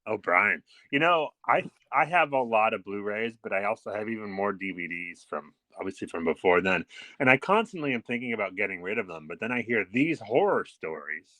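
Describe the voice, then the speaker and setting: A man's voice: fairly low pitch, lively intonation, fairly quick, sharp tone, no room sound. A man co-hosting a casual podcast by phone, wry and mock-dramatic, with some casual swearing. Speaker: podcast co-host. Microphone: phone call.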